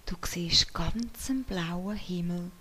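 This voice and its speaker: A woman whispering softly as she leads a guided meditation in a slow, soothing way. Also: on the low side. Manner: soft whispering.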